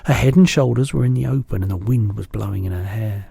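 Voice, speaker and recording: A man, a teacher, calmly reading a children's storybook aloud. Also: fast, vocal fry, husky, no echo. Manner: calm, lowered narrating voice.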